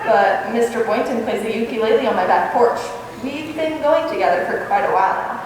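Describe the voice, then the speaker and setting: Actress as quick-witted, wry teacher, slightly high voice, radio-comedy timing, recorded far off in a reverberant hall. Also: female voice, a crowd in the background, natural voice. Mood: indignant. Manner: wry, dry quips. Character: wry, quick-witted female schoolteacher, dryly sarcastic. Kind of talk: 1940s-style radio comedy acting. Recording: echoey hall from far off, faint noise.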